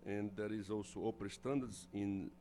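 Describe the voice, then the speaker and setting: A man's voice: on the low side, fairly even intonation, normal speed, warm tone, slight background noise. A man making program announcements at a conference, hesitant with fillers. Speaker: conference organizer.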